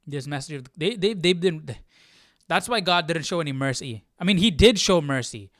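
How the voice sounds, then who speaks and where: A man hosting a casual Bible study livestream, haltingly thinking aloud as he comments. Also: fairly high pitch, expressive, fairly bright tone, dry recording, studio quality.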